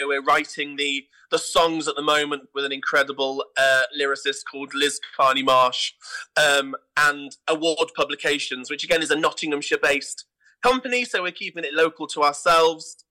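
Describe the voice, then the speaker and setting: Man, fairly high pitch, very sharp bright tone, enthusiastic, effusive, theatre director, casual interview talk. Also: steady tone, moderate pace, low-quality recording.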